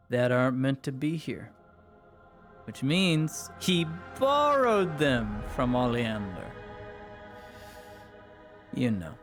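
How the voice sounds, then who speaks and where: A man streaming a roleplay, narrating his own character in the third person in a slow, musing way with many pauses. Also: medium pitch, creaky, a bit bright.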